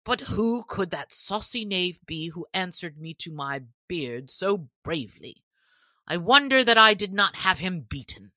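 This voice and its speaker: A woman voicing a haughty, quick-tempered male sheriff from a storybook, speaking in pompous archaic phrases. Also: on the low side, very animated, pushed and bright, dry recording, pitched above the performer's own voice, full character voice. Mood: brooding.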